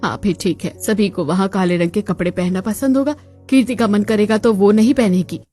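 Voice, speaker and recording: A woman plays the women of a cartoon family drama, a young daughter and her chatty relatives. The delivery is expressive and dramatic, at an average pitch, with music running underneath. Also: fairly quick, natural voice. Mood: worried, gossipy. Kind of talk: Hindi cartoon story dialogue. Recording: music bed behind the voice.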